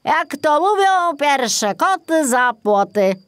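Woman voicing a high, fussy forest gnome. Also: very animated, slow, a bit bright, no room sound, exaggerated voice. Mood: reassuring.

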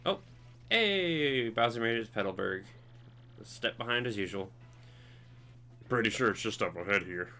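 A man's voice: mid-range voice, lively intonation, normal speed, pauses often, pushed and bright. A man in his twenties giving casual, laughing commentary while playing a game at home. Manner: laughing, chatty, self-deprecating. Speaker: let's play host. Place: home room, indoors.

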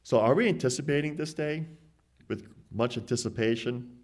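A man's voice: medium pitch, darkish tone. Earnest man preaching at a lectern. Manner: earnest, emphatic, slows down to read scripture aloud. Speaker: church speaker. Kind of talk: sermonette with scripture reading. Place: church hall, standing at a lectern. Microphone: lectern microphone.

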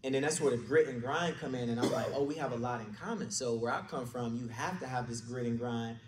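A man's voice: medium pitch, a little fast. Detroit guy giving a casual motivational talk, kinda far from the mic, some noise in the room. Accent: American, Detroit. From Detroit, USA. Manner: colloquial, anecdotal. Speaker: conference speaker, real estate agent. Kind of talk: informal motivational storytelling talk to an audience. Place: indoor conference room, speaking on a stage. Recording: echoey room, distant mic, background noise.

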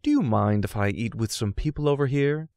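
A man acts out a wealthy husband in a literary audio drama. He is aloof and arrogant, with clipped delivery at a medium pitch. The recording is very dry. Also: brisk, darkish tone, dry recording, natural voice. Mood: pleasantly dismissive.

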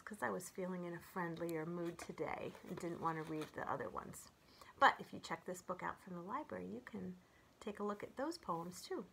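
A woman in her forties reading children's poems aloud and chatting between them in a gentle, expressive way, as a storyteller speaking to young children. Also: on the low side, a little hiss or hum. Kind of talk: read-aloud of children's poems with casual commentary. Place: small furnished room at home, indoors.

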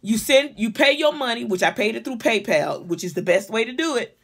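A woman's voice: fairly high pitch, lively intonation, a little fast, husky. A middle-aged woman chatting casually in a home vlog, blunt and full of swearing, with comic exasperation. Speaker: vlogger reviewing a wig. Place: small living room or bedroom at home, indoors. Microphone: phone or webcam at arm's length.

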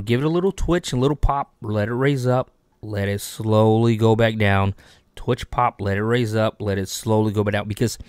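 A man in his thirties from Texas, hosting a fishing channel, giving animated, repetitive tips to camera from a small home studio on a desk microphone. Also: fairly low pitch, smooth, no echo. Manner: animated, repetitive coaching with lots of hand gestures. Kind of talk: instructional video talk to camera. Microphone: desk microphone on boom arm.

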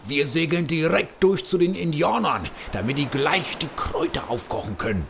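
A man playing a good-natured old pirate captain with a gravelly, husky voice in a children's audio play. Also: a little high, lively intonation, normal speed, a bit bright, slight background noise. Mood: hearty, willing.

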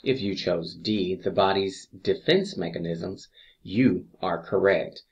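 A man reading scripted exam review questions as an instructor, deliberately, pausing between each answer choice. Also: low voice, dull audio.

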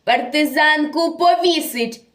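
A woman's voice: fairly high pitch, slow. Woman reciting as a barking, cruel wartime commandant, echoey. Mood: furious. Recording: echoey room, distant microphone.

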